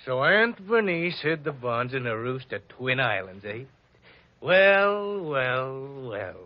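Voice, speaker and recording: A man's voice: mid-range voice, sing-song, takes it slowly. An actor playing a brash, arrogant male heir in a 1940s radio drama, sneering and bossy. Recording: lo-fi old radio broadcast.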